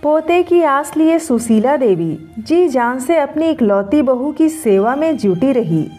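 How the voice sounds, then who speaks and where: A woman reading a scripted story in an expressive storytelling manner. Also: high-pitched, a little slow. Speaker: story narrator. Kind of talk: scripted Hindi story narration. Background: background music.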